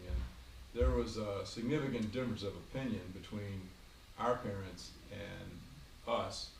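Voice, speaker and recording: A man in his sixties from Virginia, a grandfather answering his grandchild's questions at home by the fire, explaining in a measured way with frequent ums and uhs. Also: lowish voice, a little mellow, reverberant, distant mic, slight background noise, rough recording. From Virginia, USA. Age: 60s. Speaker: grandfather being interviewed. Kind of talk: family interview, casual recollection. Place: home, beside a fireplace.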